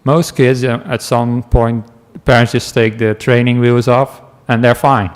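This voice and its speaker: A man from the Netherlands with a Dutch accent, a meditation teacher giving a relaxed talk to a class, telling stories with asides and small laughs. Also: medium pitch, a little slow. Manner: gentle, chatty storytelling with asides and small laughs.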